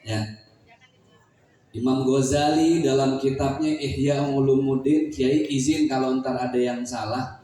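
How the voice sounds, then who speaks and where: A man's voice: mid-range voice, steady tone, unhurried, dark tone. A man preaching with a Betawi accent at a community gathering, joking and teasing the audience with questions. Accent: Betawi Malay. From Jakarta, Indonesia. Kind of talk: humorous religious talk at a community gathering. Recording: echoey, distant hall sound.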